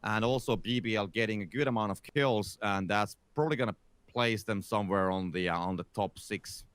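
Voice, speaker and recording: A man's voice: lowish voice, a little fast, a bit bright, clear, resonant tone, no room sound. A man giving live esports analysis, laid-back and a little hesitant in his phrasing.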